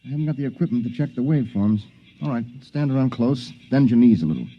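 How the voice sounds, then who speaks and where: An actor playing a practical, determined inventor who leads a stranded group, matter-of-fact and commanding, in 1950s radio drama style, on a dull lo-fi 1950s radio recording. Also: male voice, mid-range voice, quick pace, natural voice.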